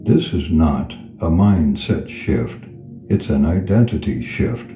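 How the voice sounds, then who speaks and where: A man guiding a meditation in a soothing, unhurried manner, leaving long pauses between phrases. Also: extremely low pitch, animated. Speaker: meditation guide. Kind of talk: guided meditation. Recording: reverberant voice over clear background music. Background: background music.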